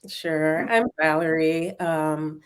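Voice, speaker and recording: A woman raised in Miami, a panel guest speaking in a relaxed, unhurried way over a video call. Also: deep voice, smooth. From Miami, United States.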